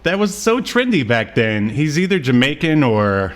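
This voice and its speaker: A man's voice: mid-range voice, big pitch swings, moderate pace. Casual, chuckling male podcast co-host. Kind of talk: casual chat about cartoons.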